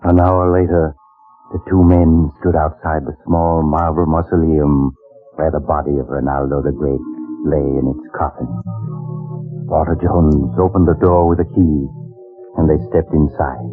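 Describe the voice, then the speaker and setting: Very deep, sinister horror storyteller. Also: male voice, fairly even intonation. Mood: ominous. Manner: grave, deliberate, ominous. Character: sinister mad doctor narrating horror tales. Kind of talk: old-time radio horror narration. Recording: muffled old radio recording with music.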